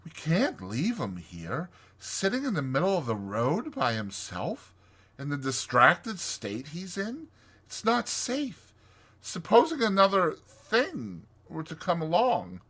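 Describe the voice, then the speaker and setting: A man voicing a timid, kindly male mole in a storybook, softly and with a worried, questioning manner. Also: fairly high pitch, huge pitch swings, fairly quick, hardly any pauses, slightly rough, pitched above the performer's own voice, exaggerated voice. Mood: anxious.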